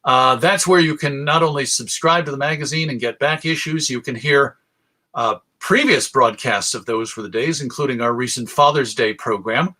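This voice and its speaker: A man's voice: mid-range voice, moderate pace, sharp tone. An American man giving a conversational talk to an audience as a radio show host, with wry, jokey asides. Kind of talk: live presentation to an audience, conversational lecture.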